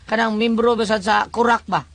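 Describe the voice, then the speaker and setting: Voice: mid-range voice, level tone, normal speed, fairly bright tone. A co-host with a Cebuano Filipino accent chatting casually and often echoing what the others say. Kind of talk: casual chat, commentary.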